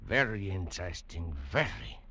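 An actor playing a courteous, scholarly male museum curator, speaking in a measured, deliberate way in a 1950s radio drama. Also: low-pitched, expressive, vocal fry, sharp tone, soft background music, full character voice. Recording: dull old radio broadcast.

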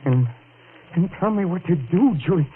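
A guilt-wracked murderer stammers and spirals toward hysterical laughter in an old radio thriller. The broadcast is thin and tinny under a faint hiss. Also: male voice, sits high, expressive, normal speed. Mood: panicked. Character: desperate man who killed a rival. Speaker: radio drama actor. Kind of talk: 1940s radio acting.

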